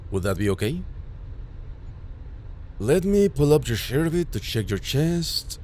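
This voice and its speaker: A man playing a caring, worried ambulance medic who gently reassures an injured patient in an immersive audio roleplay. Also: medium pitch, big pitch swings, measured pace. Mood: calm coaching. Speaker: voice actor.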